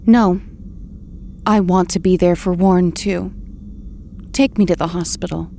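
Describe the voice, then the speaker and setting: An actress playing an anguished but determined heroine in an audio drama, with an emotional, pleading delivery. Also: female voice, lowish voice, fairly even intonation, measured pace, vocal fry, warm tone, a little hiss or hum. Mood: quiet, pleading. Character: anguished, determined heroine back from presumed death.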